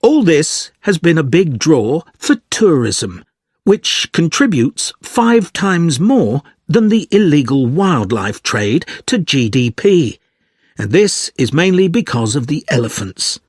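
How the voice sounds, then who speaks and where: A man reads a scripted academic lecture in a fairly high voice with lively intonation. He articulates carefully and takes his time, and the recording is very dry and close. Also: slow, breathy edge, no room sound. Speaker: lecturer in a listening exam recording.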